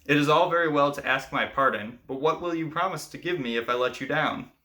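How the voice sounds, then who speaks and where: A man's voice: on the high side, brisk, bright, forceful tone. A man voicing a cruel, demanding magician in a fairy tale, with a commanding, menacing manner.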